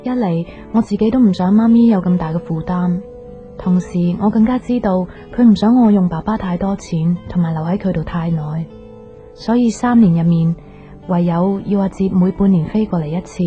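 A woman's voice: fairly low pitch, normal speed, close to an everyday voice. An actress with a Hong Kong Cantonese accent playing a young student girlfriend back from abroad, conversational, in a radio drama. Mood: reflective. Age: young adult. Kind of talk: naturalistic radio drama narration and dialogue. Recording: slightly dull radio recording, faint guitar music.

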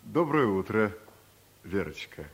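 An actor playing a self-satisfied, elegant deputy director of about forty, slow and pompous. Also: male voice, fairly low pitch, big pitch swings, exaggerated voice. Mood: smug. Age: around 40. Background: music.

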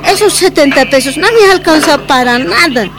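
A woman's voice: sits high, lively intonation, normal speed. A woman playing a harried working mother in a radio drama, with a Bolivian accent and a complaining, argumentative manner. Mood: exasperated. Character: harried, exasperated working mother and market vendor. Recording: music behind the voice.

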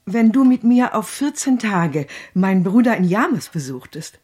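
A woman playing a blunt, warm-hearted, loyal country housemaid with a husky voice in a radio drama. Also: medium pitch, sing-song, moderate pace. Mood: fond, coaxing.

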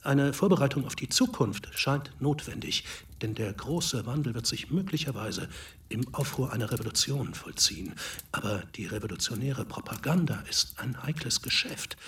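Wheezy heavyset idealist thinking out loud, radio play, slightly off mic, faint noise. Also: male voice, mid-range voice, recorded from a distance. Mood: measured, didactic. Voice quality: wheezy, breathy. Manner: declaiming as if to himself. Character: fat, wheezy ex-prisoner, idealistic revolutionary theorist, self-absorbed. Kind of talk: radio drama acting.

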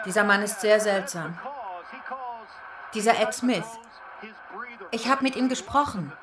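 A woman playing an anxious, concerned wife in a crime radio drama, gently probing. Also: mid-range voice, measured pace, a crowd in the background, natural voice.

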